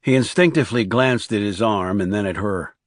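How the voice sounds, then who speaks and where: A male audiobook narrator reads a thriller from a script. His pitch is a little low. The recording is dry, with no audible room. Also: brisk, dry recording.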